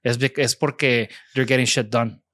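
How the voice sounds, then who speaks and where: A man's voice: medium pitch, fairly even intonation, measured pace, a bit bright. A man in his thirties with a Mexican accent, hosting a casual interview and often restarting his sentences. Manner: hesitant, restarts, casual slang. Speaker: podcast host and professor.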